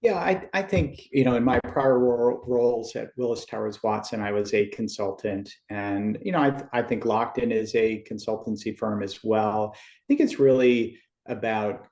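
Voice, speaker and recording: A middle-aged man from Western Massachusetts, a podcast guest who explains at a measured pace with frequent restarts. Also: on the low side, a little mellow, roomy sound. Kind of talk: podcast interview.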